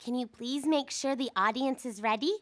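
A woman voicing a cheerful, bossy young girl bunny in a children's cartoon, explaining things patiently and clearly. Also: high-pitched, normal speed, noisy background, close to an everyday voice. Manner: articulate, patient, instructing. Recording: music behind the voice.

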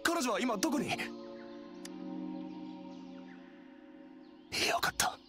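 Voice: mid-range voice, lively intonation, fast talker, over music, natural voice. A performer playing an earnest, defiant young man in a video game, speaking with impassioned feeling. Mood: relieved. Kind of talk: Japanese video game voice acting.

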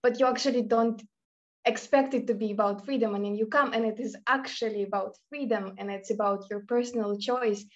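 A woman's voice: fairly high pitch, steady tone, fairly quick. Enthusiastic woman on a muffled call. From Russia. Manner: enthusiastic, reflective. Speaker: student panelist. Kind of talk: casual remote panel answer. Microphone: video call.

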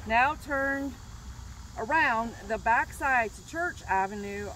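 Woman, middle-aged, warm nostalgic tour guide, higher voice, outdoors, street noise behind. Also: expressive, takes it slowly, poor audio. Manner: enthusiastic, fond and nostalgic. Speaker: vlog host and tour guide. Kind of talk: casual walking-tour commentary. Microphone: handheld camera at close range.

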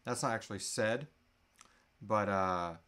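A man's voice: fairly low pitch, unhurried, fairly bright tone. A man in his thirties chatting casually and jokily off the cuff as host of a live Q&A, at a computer mic in a small room at home. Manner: jokey, playful. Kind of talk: casual off-the-cuff livestream chat.

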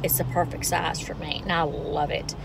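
A woman's voice: deep voice, little pitch movement, moderate pace, gravelly. A woman, chatting to viewers in a casual vlog and calling them "y'all". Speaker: vlogger. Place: car interior, then at home. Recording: car noise behind voice.

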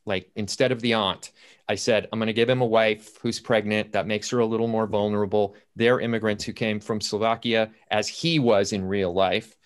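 Man, mature, low voice, playwright on an online panel, storytelling and quoting himself as he goes. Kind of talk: anecdotal panel talk about writing. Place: indoors at home, on a video call. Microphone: computer video-call audio.

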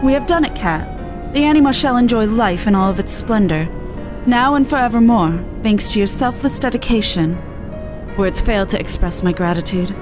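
A woman's voice: mid-range voice, expressive, normal speed, music in the background, close to an everyday voice. A woman voicing an earnest seventeen-year-old fantasy artisan with formal, archaic phrasing, reading game dialogue aloud. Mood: formal gratitude.

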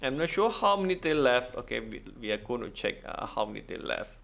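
A man with a lively, swinging pitch and a gravelly edge talks through a game casually. His English is halting and non-native, as if thinking out loud. There is faint noise behind him. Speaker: gaming video host. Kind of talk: casual game walkthrough commentary.

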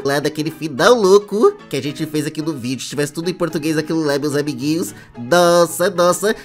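A man with a Brazilian accent voicing a chatty, playful cartoon sponge who hosts reaction videos with exaggerated commentary. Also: sits high. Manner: playful, exaggerated, addresses viewers as little friends. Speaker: host of a reaction channel. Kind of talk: casual reaction commentary for kids.

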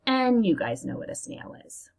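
An American woman teacher reading aloud to young children and explaining as she goes, in a deliberate, expressive manner. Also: on the high side, gravelly. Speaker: elementary school teacher reading aloud to pupils.

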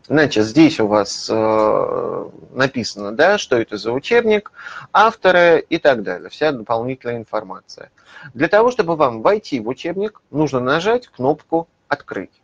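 A man's voice: mid-range voice, big pitch swings, normal speed, creaky. A man in his thirties, a publisher's lead methodologist, explaining a digital library in a webinar, with frequent hesitation fillers, recorded on a webcam in a small office. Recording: slightly dull lo-fi webinar audio.

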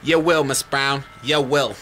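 A man acting a brisk, methodical homicide sergeant in an amateur radio mystery drama. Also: mid-range voice, fairly even intonation, bright, forceful tone, faint music behind. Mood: knowing, firm.

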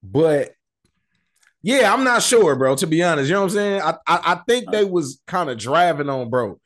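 A man's voice: on the high side, very animated, normal speed, fairly bright tone. A man, sounding middle-aged, in casual, slangy street news commentary with profanity, often checking that the listener follows. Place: indoor room, video call.

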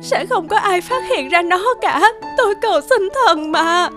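An actress playing an anguished, emotional woman who pleads and grieves in an animated drama. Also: very high voice, measured pace, over music. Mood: desperate pleading.

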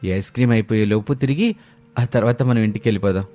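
A man's voice: on the low side, fast, natural voice. A man playing a caring, placating young Telugu husband and dutiful son. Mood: mild, explaining. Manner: gentle, placating.

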